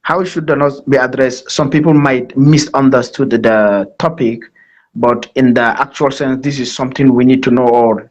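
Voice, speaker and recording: A man's voice: medium pitch, steady tone, moderate pace. A man from Nigeria with a Nigerian accent speaks formally as a guest presenter on a live online talk, explaining things with emphatic repetition. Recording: dull lo-fi online call audio.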